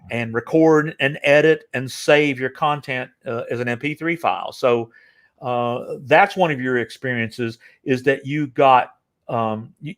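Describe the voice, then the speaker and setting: A man's voice: medium pitch, fairly bright tone. An older man hosting a casual interview, talking in long rambling stretches full of fillers and restarts. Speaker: interview host.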